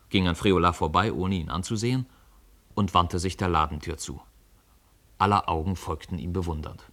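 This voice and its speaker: A man narrating a literary radio play as a scripted storyteller, measured and articulate. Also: low voice, a bit bright.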